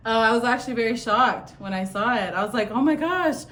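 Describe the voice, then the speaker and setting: A woman's voice: fairly high pitch, animated, fairly quick, fairly bright tone. A woman from Western Samoa who makes music, chatting modestly and laughing often as a radio guest. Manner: giggly, modest, easygoing. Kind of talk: casual interview chat. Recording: roomy, distant mic, faint hiss.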